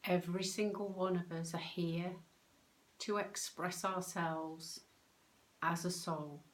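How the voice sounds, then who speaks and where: A woman with a lowish voice leads a guided meditation. Her delivery is very slow and calm. She gives soothing instructions in short phrases, pausing often. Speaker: meditation guide, soul coach.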